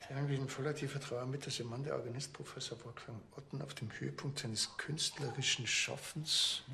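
Ein Schauspieler spielt einen derben, witzelnden bayerischen Dorfpolizisten mit bayerischem Akzent in einem Fernsehkrimi. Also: male voice, medium pitch, fairly even intonation, a little fast, gravelly, noisy background, close to an everyday voice. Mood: easygoing. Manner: folksy, teasing banter. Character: Bavarian village cop, blunt and joking. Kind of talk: TV crime comedy dialogue.

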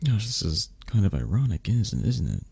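A man playing a sick, grumbling, needy mafia boss in an ASMR romance roleplay, soft, breathy and raspy, halting and plaintive. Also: on the low side, big pitch swings, no echo, full character voice. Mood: miserable, plaintive.

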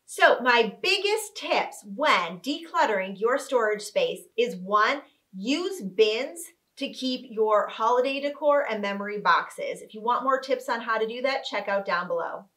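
This is a woman in her thirties, a home organizing vlogger, sitting on a couch indoors and talking to the camera. Her voice sits a little high, and she explains each step clearly in an upbeat, teaching manner. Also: lively intonation, unhurried, few pauses, fairly bright tone. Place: living room with couch, indoors.

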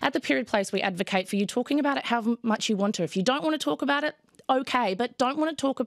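A woman's voice: on the high side, fast talker, dry recording. A woman in her thirties with a New Zealand accent, a charity head speaking earnestly and with animation as a guest in a live TV studio interview. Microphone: desk microphone on a boom arm.

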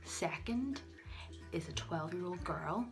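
A woman's voice: on the low side, expressive, slow, music in the background. A young woman with a Northern Irish accent chatting casually to a phone camera in a small bathroom, giggling and dropping into whispered asides. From Northern Ireland. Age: young adult. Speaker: vlogger. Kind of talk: casual chat. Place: small tiled bathroom, indoors. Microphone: phone camera at arm's length.

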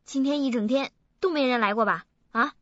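A woman's voice: high voice, fairly quick, fairly bright tone, slight background noise. An actress playing a frightened, evasive married woman in a radio drama, anxious and stammering. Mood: shaken, pleading. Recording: dull lo-fi audio, faint music.

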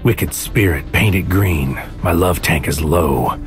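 A man playing a brooding, paranoid hitman, delivering a deliberate, poetic inner monologue in a surreal audio drama. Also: deep voice. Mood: brooding, haunted. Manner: deliberate, poetic, often dropping into whispers. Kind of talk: scripted noir-like audio drama narration. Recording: music under the voice. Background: music.

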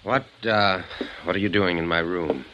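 A man's voice: low-pitched, moderate pace, dull audio, close to an everyday voice. An actor playing a nervous, evasive male secretary in a 1950s radio drama, hesitant and stammering. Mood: wary. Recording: noisy, clicking 1950s radio recording.

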